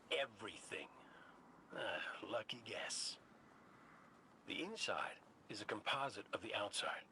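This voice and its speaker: A man's voice: fairly low pitch, expressive, slow, long pauses, sharp tone, a little hiss or hum, exaggerated voice. A man playing a cocky, wisecracking veteran robot scout in a video game, with a wry, folksy, swaggering way of talking. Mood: nostalgic.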